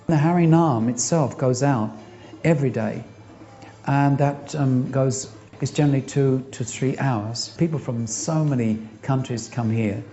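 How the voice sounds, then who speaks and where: A man speaks in a casual interview with a mellow, dark tone and a rambling manner. Soft music sits faintly under the slightly dull, lo-fi sound. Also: medium pitch, a little slow. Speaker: temple devotee interviewee.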